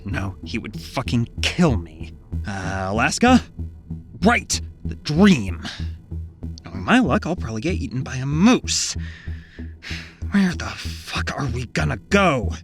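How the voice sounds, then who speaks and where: Cornered desperate guy, slow halting, sweary, intense audio drama, loud music behind. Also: medium pitch, sing-song. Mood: anxious, muttering. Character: desperate, foul-mouthed, cornered adult on the run. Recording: prominent music under the voice.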